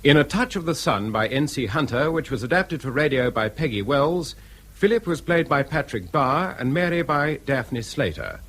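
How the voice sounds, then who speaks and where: A man's voice: mid-range voice, animated, normal speed, fairly bright tone, slight background noise. Lilting English male radio announcer. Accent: English, received pronunciation. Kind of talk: formal scripted cast credits.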